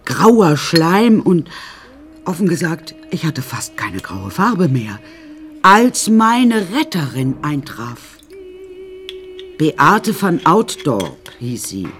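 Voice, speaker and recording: An actress playing an older, sardonic and imperious confined woman who narrates her macabre life in a wry, drawn-out, confiding way in a radio drama. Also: low-pitched, sing-song, full character voice. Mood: wry recounting.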